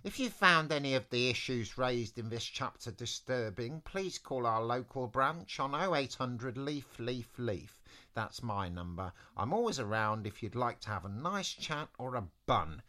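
Storybook tree voiced by a reader: mid-pitched, friendly, polite, measured message-giver. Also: animated, pushed and bright. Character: friendly, chatty tree leaving a kindly message.

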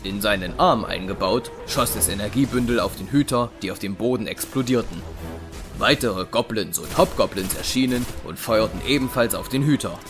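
A man's voice: fairly low pitch, normal speed. A man giving scripted narration for a science fiction audio drama. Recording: music behind the voice.

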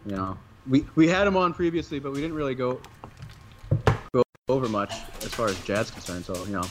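A man's voice: expressive, brisk, faint music behind. A man hosting a wrestling podcast in casual, joking chat. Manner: joking, sings the show chant in a loud high voice. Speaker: podcast host. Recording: dull lo-fi sound, faint hiss.

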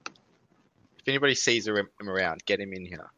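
A young Australian man with a bright, forceful voice that sits a little low, chatting on a podcast in a relaxed way and laughing easily. He often trails off mid-thought. A faint hiss runs under the recording. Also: moderate pace, lo-fi sound. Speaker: podcast co-host. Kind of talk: casual chat.

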